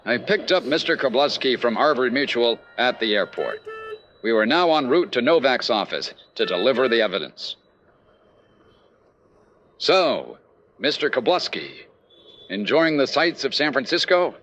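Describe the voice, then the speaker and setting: An actor playing a brisk, confident, wry male insurance investigator in an old-time radio detective drama. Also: mid-range voice, big pitch swings, fairly bright tone, highs cut off, a little hiss or hum. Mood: wry, easygoing.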